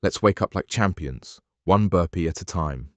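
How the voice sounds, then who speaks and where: A man reads a short, punchy motivational voice-over. His voice is very deep, and the recording is dry and close with no room sound. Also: animated, moderate pace, a bit bright, dry recording. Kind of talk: scripted motivational voice-over intro.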